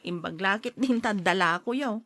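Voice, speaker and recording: A woman's voice: medium pitch, normal speed, fairly bright tone, close to an everyday voice. A woman with an Ilocano accent playing a chatty neighbour out shopping in a family drama, conversational and questioning. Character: chatty female neighbour shopping at a small store. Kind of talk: radio-style family drama acting.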